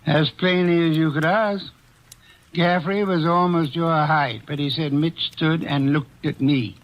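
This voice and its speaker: An actor playing a commanding, exacting male detective in an old radio drama, speaking in a measured, authoritative way. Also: fairly high pitch, fairly even intonation, natural voice. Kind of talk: 1940s radio drama acting. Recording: muffled old radio recording.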